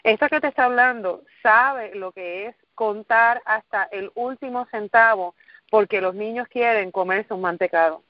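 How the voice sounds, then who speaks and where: A woman's voice: medium pitch, normal speed, no room sound, highs cut off. A middle-aged woman from Puerto Rico with a Puerto Rican accent, giving an earnest motivational talk and repeating phrases for emphasis. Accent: Puerto Rican Spanish. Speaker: motivational speaker. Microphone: recorded call or conference line.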